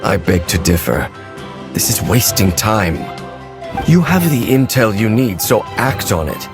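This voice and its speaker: A man voicing a stern, aloof lone warrior in a video game, speaking in a formal, measured, terse manner. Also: lowish voice, very animated, music in the background. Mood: impatient, resolute. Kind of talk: dramatic video game character acting.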